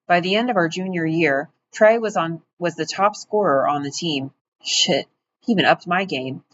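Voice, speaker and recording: A woman's voice: low voice, lightly acted. A woman voicing an easygoing teenage boy who plays basketball, talking casually and earnestly in an audiobook reading. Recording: dull, muffled audio with faint hiss.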